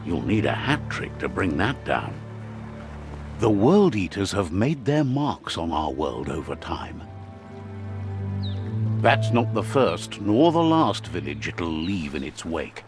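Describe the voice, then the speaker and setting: Low-voiced, lively male storyteller. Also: music in the background. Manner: measured storytelling, wise. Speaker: narrator. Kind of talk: scripted game narration.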